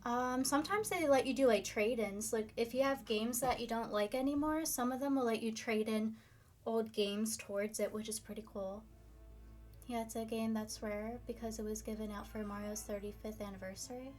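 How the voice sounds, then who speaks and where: Chatty young woman streamer, slightly hoarse. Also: fairly high pitch, fairly even intonation, normal speed, darkish tone, faint music behind. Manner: chatty, upbeat, reads viewer comments. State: slightly hoarse, losing her voice. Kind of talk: casual live-stream chat.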